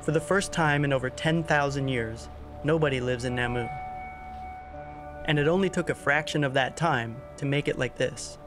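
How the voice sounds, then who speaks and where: A man's voice: medium pitch, normal speed, over music. A man, hosting a documentary with narration and casual interviews. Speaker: documentary host.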